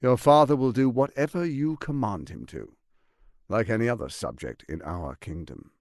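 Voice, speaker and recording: An actor playing a scheming, menacing fantasy tyrant in a video game, silky, grandiose and condescending, speaking with theatrical deliberation. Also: male voice, medium pitch, lively intonation, normal speed, a little mellow, no echo, clean studio sound, lightly acted. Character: scheming, smooth, menacing male tyrant lord and political mastermind.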